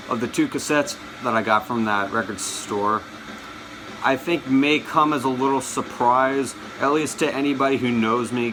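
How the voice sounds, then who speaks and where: A man's voice: medium pitch, background noise. A man vlogging in a casual chat to his viewers, rambling and often stumbling over his words and correcting himself. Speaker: vlogger showing his collection.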